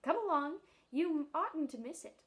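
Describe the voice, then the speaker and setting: A woman's voice: high voice, lively intonation, a little slow, fairly bright tone, voice pushed up. A woman voicing a vain male lion in a storybook reading, with a mincing, fussy manner.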